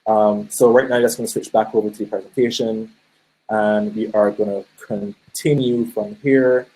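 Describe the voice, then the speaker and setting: A middle-aged man with a Barbadian accent leads an online presentation, speaking into a computer mic on a call. His voice sits a little low and sounds mellow and relaxed. He talks casually, often pausing on ums as he tells stories. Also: poor audio. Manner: conversational, storytelling with many fillers. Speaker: webinar presenter. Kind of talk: live online presentation with chat questions.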